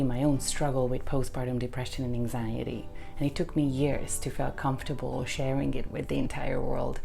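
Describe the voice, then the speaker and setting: A woman in her thirties with a Hungarian accent, a therapist telling her own story in an earnest, measured way and often repeating phrases. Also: extremely low pitch, creaky, mellow. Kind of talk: personal testimony.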